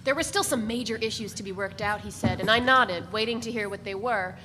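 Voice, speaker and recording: A woman's voice: mid-range voice, fairly quick, pushed and bright. A woman giving a literary narration as part of a dramatic reading of a novel. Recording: noisy, reverberant hall recording.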